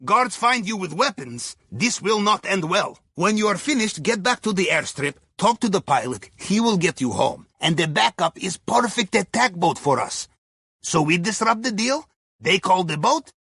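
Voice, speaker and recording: Scheming, jovial Russian mission guide for a game; bright, forceful, husky delivery, theatrical and clipped, recorded very dry. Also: male voice, sits high, dry recording, exaggerated voice. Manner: theatrical, clipped phrasing with dropped articles. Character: jovial, wily Russian submarine captain's heist planner. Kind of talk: video game character dialogue, mission briefing.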